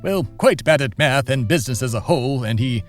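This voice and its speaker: A man's voice: mid-range voice, expressive, fairly quick, faint music behind, lightly acted. A man playing a pompous, boastful comedy podcast host in an audio drama, speaking formally with hesitant asides. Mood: smug, explaining. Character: Thebadias, pompous, boastful, genteel America-obsessed podcast host.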